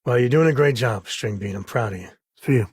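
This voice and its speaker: Husky, wry space cowboy. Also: male voice, mid-range voice, fast, no room sound, clean studio sound. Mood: easygoing, wry. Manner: folksy, wry, plainspoken. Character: rugged space cowboy explorer, wry, loyal, protective adult man. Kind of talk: video game character voice lines.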